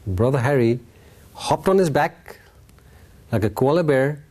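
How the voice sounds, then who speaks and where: A man's voice: mid-range voice, animated, a little slow, darkish tone. A man giving an informal, playful talk as a spiritual teacher, telling a story to a group and checking in with his listeners. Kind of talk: animated storytelling Dharma talk to a live group.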